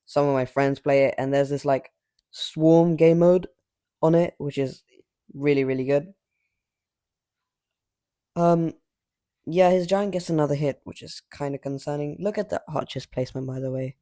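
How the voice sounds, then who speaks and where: A man giving casual, rambling commentary over his mobile gameplay, cocky and trash-talking, his voice slightly raspy from filming late at night. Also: fairly high pitch, fairly even intonation, moderate pace, pauses often, warm tone.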